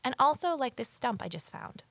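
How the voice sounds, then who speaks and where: An actress playing an eager, easily flustered rookie conservation officer and amateur sleuth in an audio drama, earnest and inquisitive. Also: female voice, high voice, sing-song, moderate pace, fairly bright tone, no room sound, heavily acted. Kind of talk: naturalistic audio drama acting with first-person narration.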